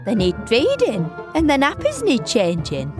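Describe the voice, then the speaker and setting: Lady reading as an exhausted young mum, slow and sighing, high and lively. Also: over music, full character voice. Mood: weary. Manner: weary, fretful, slow and drawn-out. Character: tired young mother with a crying baby.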